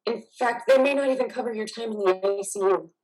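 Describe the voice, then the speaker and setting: Casting: actress for a soldier's devoted wife, higher-pitched, husky, weary and tender with an exasperated edge, stage drama. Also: female voice, fairly quick, darkish tone, close to an everyday voice, poor audio. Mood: grave, reluctant. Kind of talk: stage-style dramatic acting.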